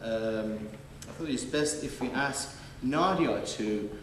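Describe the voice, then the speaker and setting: Halting man in an echoey hall. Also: medium pitch. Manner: halting, many fillers and restarts. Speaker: panel moderator. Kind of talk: hesitant live introduction. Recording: distant mic, echoey hall, faint noise.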